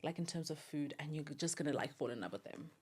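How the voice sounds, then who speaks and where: A woman's voice: low-pitched, lively intonation, fast talker, darkish tone. A woman with a South African accent co-hosting a podcast in casual conversation. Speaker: podcast co-host. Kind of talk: casual chat.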